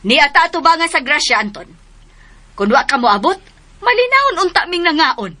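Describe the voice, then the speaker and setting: Exasperated household matriarch, southern Philippine accent, lively swooping intonation, slightly high voice, commanding, radio drama. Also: female voice, fairly quick, exaggerated voice. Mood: reproachful. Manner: reproachful, commanding. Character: stern, exasperated widowed mother and household mistress.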